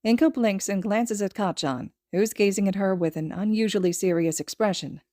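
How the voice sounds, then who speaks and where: A woman narrating a story from a script in an expressive read, as a podfic reader. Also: medium pitch, normal speed, no echo.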